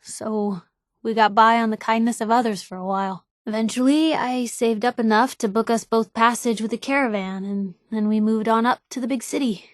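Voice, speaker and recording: Game companion, woman playing a nosy, wry young American newspaperwoman; slightly high voice, snappy informal delivery, very dry recording. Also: steady tone, normal speed, dry recording, close to an everyday voice. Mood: candid, subdued. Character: feisty, nosy young woman newspaper reporter, loyal and blunt. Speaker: video game companion character. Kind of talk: acted game dialogue.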